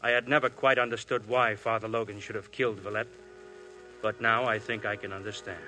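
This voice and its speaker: A middle-aged police inspector who weighs every answer with quiet skepticism. His tone is bright and firm, and faint music sits under an old radio broadcast. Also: lowish voice, steady tone, rough recording. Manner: measured, skeptical. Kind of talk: 1950s radio drama acting.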